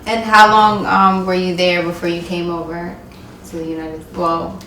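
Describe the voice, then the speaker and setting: A woman with a lowish voice hosts a casual interview, encouraging her guest and chiming in often. Her voice carries a roomy echo and sounds a step away from the mic, with faint noise below. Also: level tone, normal speed, a bit bright, far from the mic. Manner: encouraging, frequent backchannel. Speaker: interviewer host. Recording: echoey room, from afar.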